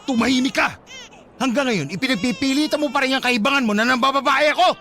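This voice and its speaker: Domineering husband, radio drama: impatient, high, rapid, insistent. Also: male voice, background noise. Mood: angry, defensive. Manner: barking, insistent. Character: angry, defensive husband and father, domineering and impatient.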